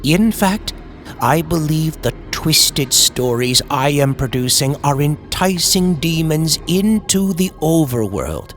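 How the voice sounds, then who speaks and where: Man as a slow, tormented horror writer. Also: a little high, animated. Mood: dread, anguish. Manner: grave, brooding, formal. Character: tormented male horror writer fearing demons, guilt-ridden. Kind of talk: dramatic first-person horror narration, old-time radio style. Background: music.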